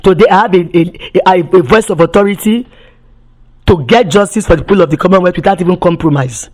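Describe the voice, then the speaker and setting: A woman's voice: fairly low pitch, fairly quick, darkish tone. A woman activist giving impassioned testimony, emphatic, often repeating and restarting words and firing off rhetorical questions.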